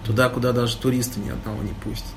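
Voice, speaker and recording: A man's voice: mid-range voice, level tone, racing, gravelly. Man, amateur photographer, reflective interviewee, sudden emphatic rises, faint noise behind. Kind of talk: interview at an exhibition. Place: photo exhibition.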